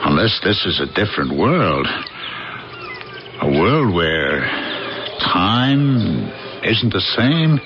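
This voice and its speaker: A man acting in an early 1980s radio drama as a homesick, bewildered American factory foreman, plain-spoken and halting. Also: sits low, big pitch swings, lo-fi sound, music in the background, heavily acted. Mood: bewildered, agitated. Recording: noisy, band-limited old radio broadcast recording.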